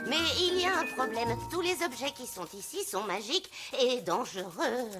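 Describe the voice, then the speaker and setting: A woman's voice: a little high, animated, moderate pace, close to an everyday voice. A woman playing a scatterbrained, hesitant witch in a children's cartoon, muttering and repeating herself. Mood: hesitant, explaining. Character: flustered hoarding witch, kindly but scatterbrained. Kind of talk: dubbed children's cartoon acting. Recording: music behind the voice.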